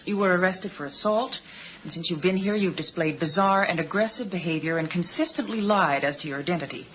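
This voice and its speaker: An actress playing a calm, sceptical psychiatrist in a 1980s radio drama, speaking in a measured, probing way. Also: female voice, lowish voice, lightly acted. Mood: firm, sceptical. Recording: dull, noisy 1980s radio recording.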